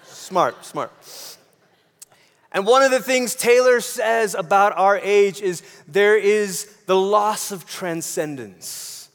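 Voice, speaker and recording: Male preacher from Malaysia, high register, conversational sermon with repeated phrases, big echoing hall sound. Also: slow. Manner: conversational, rhetorical questions, repeats phrases for emphasis. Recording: long hall reverb.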